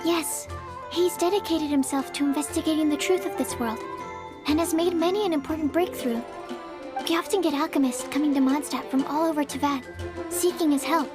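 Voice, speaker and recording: A woman's voice: high voice, fairly quick, close to an everyday voice. A woman voicing a shy, nervous young female alchemy assistant in a video game, timid and apologetic. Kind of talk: video game cutscene dialogue. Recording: game cutscene with music behind. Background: game music.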